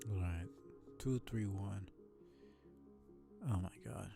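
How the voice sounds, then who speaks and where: A man's voice: sits low, extremely slow, gravelly, over loud music. A man streaming a voiced webcomic reading, giving blunt, sweary and opinionated casual commentary.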